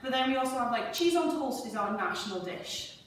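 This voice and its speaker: A woman's voice: a little high, expressive, a little fast, recorded from a distance, slight background noise. Upbeat Welsh woman in a roomy classroom. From Wales. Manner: enthusiastic and upbeat. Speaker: teacher. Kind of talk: answering interview questions. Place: large school classroom. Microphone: far camera. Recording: echoey classroom from afar.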